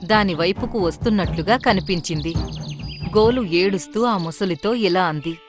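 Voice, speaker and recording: A woman's voice: on the low side, moderate pace, music in the background. A woman narrator reading a scripted children's story.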